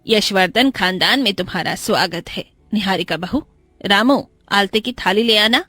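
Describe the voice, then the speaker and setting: A stately, wealthy older mother-in-law from a cartoon moral tale, acted by a woman storyteller. She is kind-hearted and composed, giving orders at a medium pitch. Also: moderate pace, bright, forceful tone, no echo, soft background music. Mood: formal welcome.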